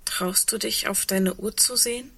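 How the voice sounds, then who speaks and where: A woman playing a resigned, composed but fearful woman in an intimate audio drama, halting and often dropping into a hush. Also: mid-range voice, gravelly, slightly rough.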